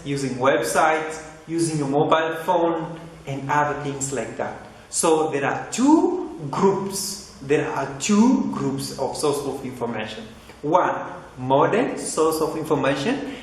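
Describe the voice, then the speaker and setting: A young man with a Tanzanian English accent teaching a lesson in an empty computer classroom, repeating points and asking "right?" often. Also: on the high side. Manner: repetitive, asks "right?" and "huh?" often, lively gestures. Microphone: camera mic at a few feet. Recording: very echoey classroom with faint hiss.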